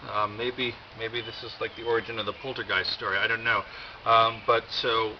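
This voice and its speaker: An American man giving a casual, rambling show-and-tell with wry, deadpan humour and a halting delivery. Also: on the low side. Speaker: video host. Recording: dull lo-fi audio, loud background noise.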